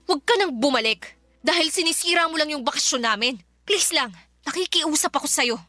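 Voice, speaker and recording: A woman's voice: high-pitched, lively intonation, fairly quick, sharp tone, no echo, clean studio sound. An actress playing a cold, exasperated young woman confronting her ex in a Filipino radio drama, blunt and scolding. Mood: angry, reproachful.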